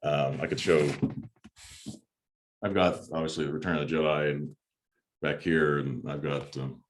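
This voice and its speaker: A man hosting a movie review chat in a casual, halting way, often repeating his words. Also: very deep voice, flat delivery, slight background noise. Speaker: host of a movie review channel. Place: home room with a desk, on a video call.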